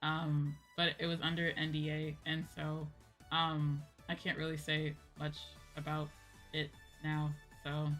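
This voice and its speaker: Bright-voiced woman livestreamer, chatting casually. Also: deep voice, a little slow. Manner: animated, informal, chatty, often seeks agreement with "right?". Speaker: VTuber streamer chatting with viewers. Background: background music.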